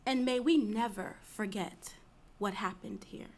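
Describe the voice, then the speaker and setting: A woman's voice: mid-range voice, unhurried, creaky. A woman playing a solemn chorus citizen in a dramatic reading of Greek tragedy, with earnest, declamatory recitation. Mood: grave.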